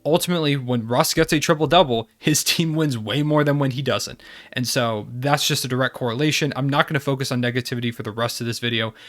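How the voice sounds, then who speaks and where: Fast-talking young man with a head cold. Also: medium pitch, a bit bright. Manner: enthusiastic, fan-like. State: congested from a cold. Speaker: basketball channel host. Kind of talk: casual video recap.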